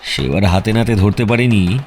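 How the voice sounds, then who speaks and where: A man playing a shrewd, self-assured detective in an audio drama, with a knowing, measured, teasing and secretive manner. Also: fairly low pitch, expressive, darkish tone, close to an everyday voice. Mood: determined. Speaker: detective character. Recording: music behind the voice. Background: music.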